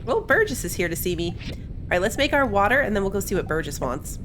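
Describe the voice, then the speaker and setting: Animated woman doing casual gameplay commentary. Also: medium pitch, quick pace, noisy background. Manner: chatty, thinking aloud, easily amused. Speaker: gaming video host. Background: faint game music.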